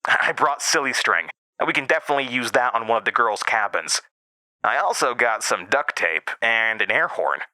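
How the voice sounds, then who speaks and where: A man voicing a mischievous, excitable fifteen-year-old boy in a horror drama, with an eager, coaxing manner. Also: medium pitch, normal speed, no echo, low-quality recording. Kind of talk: acted dialogue within horror drama narration.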